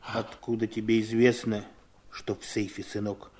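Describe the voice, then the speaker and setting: A man's voice: lowish voice, flat delivery, moderate pace, a little mellow, slightly rough. An actor playing a scheming, coaxing middle-aged father in a 1970s Soviet radio drama. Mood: coaxing, probing. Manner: insinuating, coaxing.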